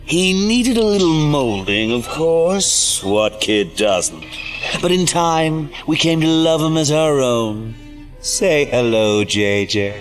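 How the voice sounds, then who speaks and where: A gleefully unhinged clown villain for an audio drama. His pitch swoops wildly as he talks with sing-song, mock-genial showmanship, over a music score. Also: big pitch swings, slow. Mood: mock-proud. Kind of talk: audio drama character acting.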